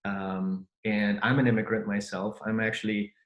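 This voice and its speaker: A man's voice: lowish voice, level tone, moderate pace, muffled recording, soft background music. Earnest man hosting a podcast, echoey. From Germany. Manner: earnest, many fillers and restarts. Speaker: podcast host. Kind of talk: conversational interview.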